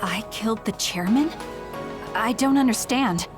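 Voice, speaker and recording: Woman doing young fighter girl in a game cutscene, highish serious voice, bewildered, music in back. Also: unhurried, lightly acted. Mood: confused, questioning. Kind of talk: dramatic video game voice acting.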